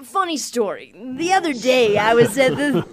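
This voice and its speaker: An actress playing a polite, uneasy late-night radio host who speaks hesitantly in an audio drama. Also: female voice, a little high, sing-song, normal speed. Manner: hesitant, nervously chatty.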